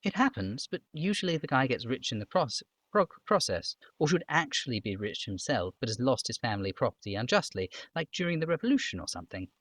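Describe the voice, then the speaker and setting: A man's voice: medium pitch, sing-song, fairly quick, hardly any pauses, a bit bright, dry recording, pitched above the performer's own voice. A man voicing a polite, earnest young girl from a video game, innocent and deferential. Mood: earnest, helpful. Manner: deferential, innocent, matter-of-fact.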